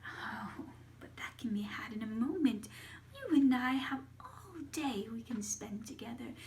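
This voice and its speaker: A woman's voice: on the high side, very animated, darkish tone, slight background noise. A woman voicing a gnarled old witch in a fairy tale, falsely sweet and menacing, drawing out her words. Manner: drawn-out words, coaxing then shrieking. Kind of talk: live-style fairy tale storytelling.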